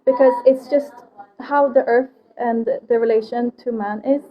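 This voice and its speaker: High-voiced earnest woman amid crowd chatter. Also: fairly even intonation, normal speed, dull audio. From Sweden. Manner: earnest, explains in simple steps. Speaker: interview guest, food project founder. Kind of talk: casual interview conversation.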